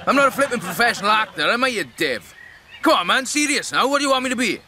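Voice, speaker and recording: A man voicing an exasperated, cheeky little round creature comedian with a British accent, bickering in slang in an animated fantasy game stage act. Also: very high voice, fast, heavily acted. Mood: indignant.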